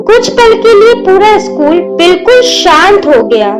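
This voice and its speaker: Female storyteller for scripted moral tale, high register, unhurried pace, music bed under the voice. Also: very animated. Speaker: narrator. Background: instrumental music.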